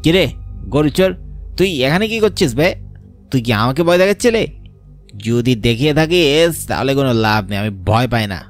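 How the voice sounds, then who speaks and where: A man voicing a brash young village cattle thief in a horror cartoon, blustering in rough slang but cowardly underneath. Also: mid-range voice, animated, normal speed, pauses often, soft background music, natural voice. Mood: defiant bluster.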